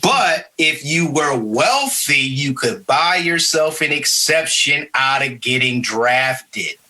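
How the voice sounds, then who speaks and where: A man's voice: medium pitch, lively intonation, slow, pushed and bright. An American man from Michigan hosting a casual history podcast, explaining things in an emphatic conversational way. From Michigan, United States. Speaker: podcast host.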